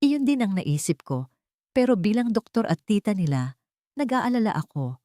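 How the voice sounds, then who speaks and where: A woman voicing a concerned, insinuating woman doctor and aunt, measured and falsely gentle. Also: mid-range voice, sing-song, dry recording, clean studio sound, voice pushed up, close to an everyday voice. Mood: feigned concern.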